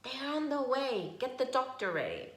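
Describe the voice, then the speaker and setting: A woman reading a picture book aloud to young children, slow and expressive, stopping to ask questions. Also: sits high. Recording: echoey room.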